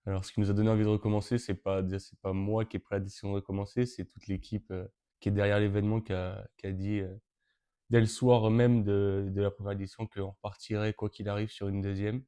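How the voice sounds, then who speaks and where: A man's voice: fairly low pitch, little pitch movement, quick pace, mellow, clean tone. A young man of nineteen from Brittany, answering interview questions with frequent hesitations and restarts. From Brittany, France. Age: teens. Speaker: interviewee, event co-organizer.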